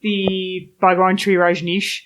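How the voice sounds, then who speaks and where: A woman's voice: fairly low pitch, level tone, takes it slowly, slight background noise, low-quality recording. A woman chatting casually as a panelist on a podcast.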